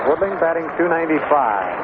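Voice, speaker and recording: A thin, phone-like vintage radio signal carries an American man calling baseball. His voice sits fairly high, and he speaks in measured, clipped play-by-play phrases. Heavy noise runs through the whole broadcast. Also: very animated. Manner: measured, clipped phrases with pauses between plays. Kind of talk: live 1960s radio sports commentary. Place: outdoor ballpark broadcast booth.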